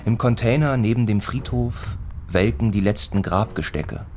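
A man narrating a literary radio play in a deliberate, rhythmic way, with repeated phrases, as a stern teller of a bleak village tale. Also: fairly low pitch, a little mellow, soft background music, lightly acted. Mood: bleak, sober.

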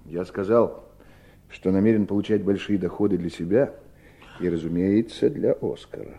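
An actor in a 1970s Soviet radio play playing a sly, scheming brother with an ironic, deliberate delivery. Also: male voice, sits low, mellow, highs cut off. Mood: cool, deliberate.

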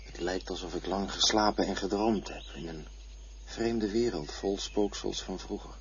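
An actor playing a bewildered man who wakes in a coffin and haltingly describes what he saw. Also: deep voice. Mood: dazed, wondering. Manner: dreamy, halting, searching for words. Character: bewildered man waking in a coffin after dying. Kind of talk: radio drama acting. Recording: dull lo-fi radio play recording, faint hiss.

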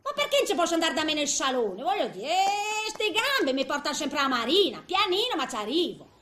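A complaining woman of eighty in a comic theatre monologue, with a high, piercing voice that swings widely in pitch. She grumbles and exclaims. The sound is roomy, with faint noise behind her. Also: big pitch swings, fairly quick, bright, forceful tone, full character voice. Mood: indignant, scornful. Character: cantankerous, complaining eighty-year-old Italian woman in a nursing home.

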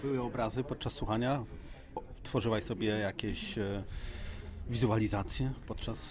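A man's voice: fairly low pitch, fairly even intonation, measured pace. A man hosting a podcast with casual on-site interviews, playful and prone to repeating himself. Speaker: podcast host. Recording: busy crowd behind the voice.

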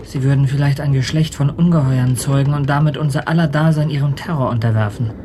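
An actor in a radio drama playing an earnest, appalled man responding to a grim confession, with a creaky voice. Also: mid-range voice, steady tone, quick pace, background noise, lightly acted.

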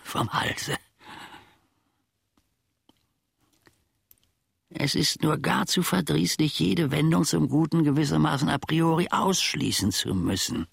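An actor playing an aggrieved, brooding old manservant in a theatrical radio play, with stilted archaic diction and sudden drops into whispering. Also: male voice, fairly high pitch, normal speed, vocal fry, husky, no echo, exaggerated voice. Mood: bitter resolve. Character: aggrieved, brooding old manservant scheming against his master.

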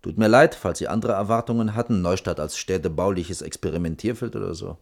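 Stiff late-thirties boss in a radio play, low voice, curt, formal, all duty. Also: male voice, fast, creaky. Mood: cold, lecturing. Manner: formal, brusque, dry officialese. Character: stern, curt, dutiful boss playing at authority. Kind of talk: 1980s German radio drama acting.

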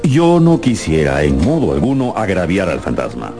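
A man's voice: sits low, very animated, normal speed, music in the background. An actor playing a courteous but firm, practical family father in a radio drama, with a formal, emphatic way of talking. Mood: polite warning.

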